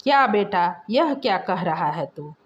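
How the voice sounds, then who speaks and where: An indulgent middle-aged mother from an audio story, voiced by a woman narrator. She speaks slowly and coaxingly, with lively rises and falls. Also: on the low side, dull audio, a little hiss or hum, pitched below the performer's own voice. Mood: disapproving.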